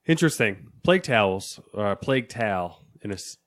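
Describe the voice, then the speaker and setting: A man's voice: fairly low pitch, expressive, brisk, a bit bright. A man co-hosting a gaming podcast in casual chat, laid-back, with short replies. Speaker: podcast co-host.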